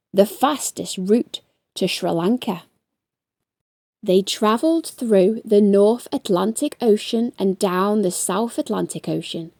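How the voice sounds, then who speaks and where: A woman reading a story aloud to children, hosting in an animated, expressive way and speaking directly to her young listeners. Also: fairly high pitch, dry recording, studio quality. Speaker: children's story reader and host.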